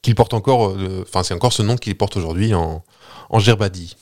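A man with a fairly low voice hosts a casual podcast. He tells his story in a playful, meandering way and keeps stopping on hesitations as he goes. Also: brisk, darkish tone. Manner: playful, rambling, many hesitations. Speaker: podcast host. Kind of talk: casual chat, telling a story.

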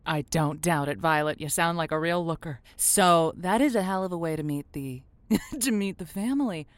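A woman's voice: lowish voice, normal speed, dry recording, close to an everyday voice. A woman playing a playful, saucy radio advice host, teasing and encouraging her callers in an improvised audio comedy. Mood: amused, teasing.

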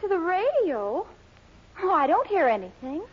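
An American actress playing a worried, bossy young daughter, earnest and dramatic in a 1940s radio sitcom. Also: female voice, extremely high pitch, sing-song, moderate pace. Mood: insistent. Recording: muffled 1940s radio recording, studio audience.

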